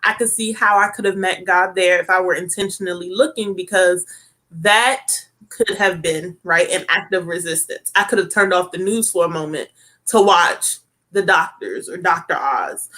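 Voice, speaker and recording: A woman hosts a relaxed talk show, speaking with a bright, forward tone. She chats casually, tossing in fillers and asking "right?" as she goes. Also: fairly low pitch, moderate pace. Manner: chatty, with many fillers and tag questions. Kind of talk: casual chat.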